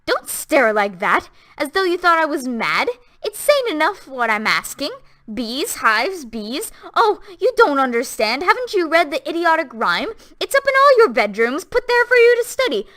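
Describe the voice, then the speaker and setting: A woman voicing a young woman gone hysterical, speaking in a high, shrill voice. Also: sing-song, normal speed, bright, forceful tone, exaggerated voice.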